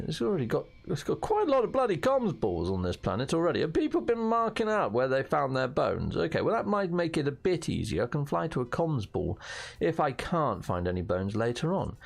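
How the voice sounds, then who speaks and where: A hoarse British man chatting through a game as its host, jokey and fond of playful slang and mild swearing. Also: mid-range voice. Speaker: gaming channel host. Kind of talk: casual gameplay commentary.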